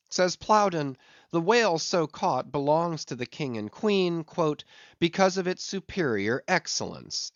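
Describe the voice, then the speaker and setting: A man reading a literary audiobook expressively, with deliberate, theatrical phrasing of ornate prose. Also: a little high, no room sound, lo-fi sound. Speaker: audiobook reader.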